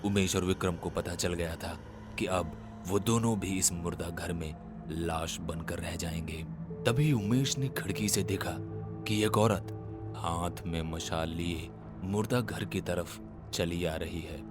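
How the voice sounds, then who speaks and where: A man telling a horror story as a scripted, dramatic narration, in a suspenseful and deliberate manner. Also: low-pitched, fairly even intonation, over music.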